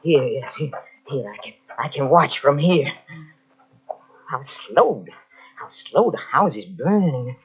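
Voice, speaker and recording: An actor playing a haunted Frenchman descending into madness in an old radio horror drama, halting and melodramatic, with repeated broken phrases. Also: medium pitch, lively intonation, husky, heavily acted. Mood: manic. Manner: halting, breathless repetitions, melodramatic. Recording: muffled old radio drama cassette transfer.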